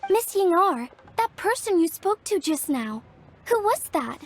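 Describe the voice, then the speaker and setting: High-voiced, earnest young game heroine. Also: female voice, sing-song, a little slow, a little hiss or hum, full character voice. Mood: startled. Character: polite, earnest, excitable young craftswoman minding a shop. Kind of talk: video game voice acting.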